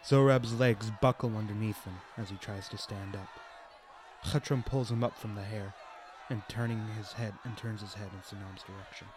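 Guy narrating a radio drama, lowish voice, scripted, busy crowd sounds behind. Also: steady tone, moderate pace. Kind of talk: scripted radio play narration, present tense. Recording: noisy, crowd ambience behind.

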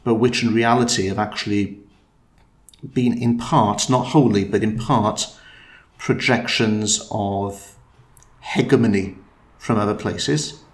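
A man's voice: fairly low pitch, steady tone. A man in a conversational discussion, thinking aloud with frequent restarts and fillers. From Wales. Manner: thoughtful, halting, many restarts and fillers. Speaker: interviewee.

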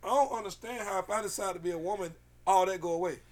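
A man with a raspy voice telling a story in casual, slangy podcast chat, animated and swearing freely. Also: sits high, fast.

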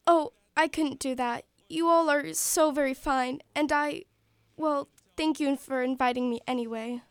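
A woman's voice: high voice, steady tone, measured pace, no echo. A woman playing a poor, polite, hopeful young match girl in a radio play, speaking earnestly and formally. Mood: polite, grateful. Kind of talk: stage-style radio play acting.

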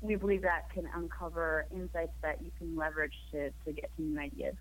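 A woman guest, hoarse from a cold, explaining her work in an upbeat way. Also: low-pitched, fairly even intonation, a little fast, slight background noise, low-quality recording. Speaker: program manager, guest. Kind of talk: phone interview.

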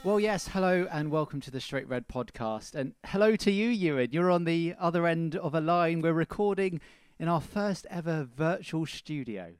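A man's voice: on the high side, lively intonation, moderate pace, clear, resonant tone, no room sound, clean studio sound. A man co-hosting a football podcast in a casual, chatty style. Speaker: podcast co-host.

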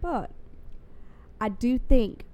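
A young woman talks in an easygoing, casual way, her voice mellow and a little stuffy from congestion. When she is sure of something she gets worked up and her pitch jumps. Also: very slow. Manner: animated, rises sharply in pitch when emphatic. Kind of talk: casual chat.

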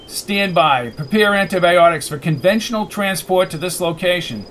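A man voicing a commanding male leader in an audiobook, worried for his friend, terse and forceful. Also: high-pitched, expressive, normal speed, slight background noise.

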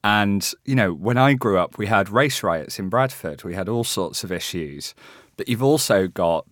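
A man's voice: on the low side, lively intonation, moderate pace, a bit bright, dry recording, studio quality. A young man with a West Yorkshire accent, a panel guest talking in a hesitant, thoughtful, conversational way. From England. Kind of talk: panel discussion.

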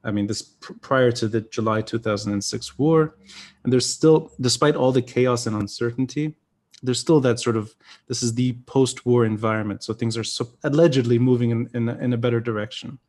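A man's voice: medium pitch, fairly even intonation, fairly quick, darkish tone. A man who grew up in Beirut, hosting a podcast interview in an earnest, searching way and often correcting himself. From Lebanon (Beirut). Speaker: podcast host. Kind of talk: conversational interview.

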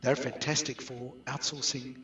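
A man's voice: medium pitch, little pitch movement, normal speed, fairly bright tone, a little hiss or hum. A middle-aged man with a South African accent, answering interview questions conversationally as a business guest. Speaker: guest outsourcing business expert. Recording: roomy echo, distant from mic.

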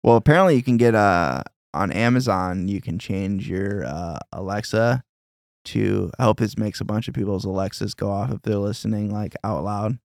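Laid-back low-voiced podcast host. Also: male voice, moderate pace, gravelly, darkish tone, no echo, studio quality. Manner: laid-back, laughs easily. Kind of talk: casual podcast chat.

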